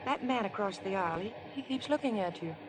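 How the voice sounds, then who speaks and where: An actress playing a devoted young sweetheart in a 1950s radio drama. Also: female voice, medium pitch, expressive, brisk, close to an everyday voice, low-quality recording. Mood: curious. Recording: old radio broadcast, music behind.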